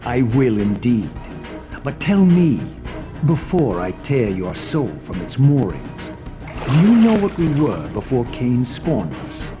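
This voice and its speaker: An actor playing a bitter, brooding undead vampire wraith in a video game, speaking in formal, scornful, archaic phrases. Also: male voice, medium pitch, sing-song, takes it slowly, over music. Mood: bitter, accusing. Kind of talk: dramatic video game acting.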